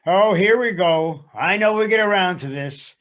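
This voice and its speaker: Comedy sketch, actor as reluctant, sarcastic husband in therapy, short dry grumbles. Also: male voice, high voice, moderate pace, a bit bright, highs cut off. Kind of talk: readers theater comedy sketch.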